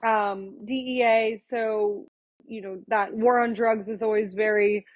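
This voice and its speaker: A woman in her thirties talks through her story as a podcast guest, thoughtful and unhurried, trailing into fillers and restarts. Her voice sits at a middling pitch. The sound is dry, with no room around it, and a little muffled. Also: fairly even intonation, no echo. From born in Thailand, raised in Florida and India. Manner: reflective, with frequent fillers and restarts. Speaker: guest, attorney. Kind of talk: conversational podcast interview.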